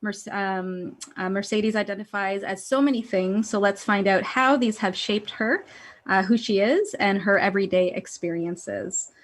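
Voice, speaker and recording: A woman's voice: medium pitch, unhurried. A woman hosting an online event over a video call, introducing a speaker in a friendly, somewhat flustered way. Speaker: event host.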